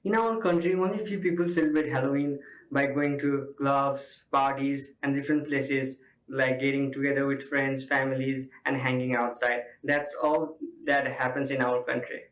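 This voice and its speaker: A man's voice: medium pitch, steady tone, normal speed, a bit bright. A young man from Nepal, answering interview questions earnestly in simple phrases. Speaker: interviewed student. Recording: roomy echo.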